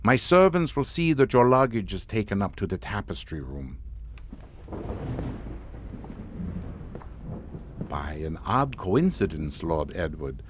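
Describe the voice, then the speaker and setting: Sardonic old-world count, lowish voice, very animated intonation, courtly, grandiose, gothic suspense drama. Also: male voice, a little hiss or hum. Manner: courtly, grandiose, mockingly amused. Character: sardonic, theatrical Hungarian count, host of a chateau. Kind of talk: suspense audio drama acting.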